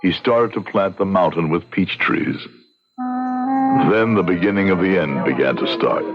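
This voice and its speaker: Man playing a deep, grave frontier judge. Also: lightly acted. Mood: ominous recounting. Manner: formal, measured, literary phrasing. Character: grave, courtly frontier judge recounting dark events. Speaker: radio drama actor and narrator. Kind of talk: old-time radio drama narration. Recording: dull lo-fi radio, music behind.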